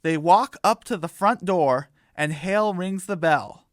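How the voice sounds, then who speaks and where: A narrator reading a scripted comic radio drama in a deliberate storybook manner. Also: medium pitch, no echo, clean studio sound.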